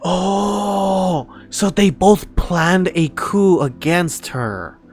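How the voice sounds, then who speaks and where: A man's voice: sits high, very slow, darkish tone, faint music behind. A man reacting casually and emotionally to a game as a streamer, with exclamations and swearing.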